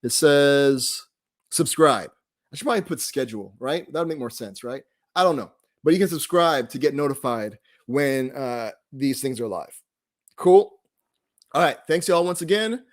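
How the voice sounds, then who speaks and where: A man's voice: fairly high pitch, lively intonation, unhurried. A man casually hosting a live online group, talking off the cuff in a rambling, laid-back way and often trailing off mid-thought. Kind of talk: casual, off-the-cuff livestream talk.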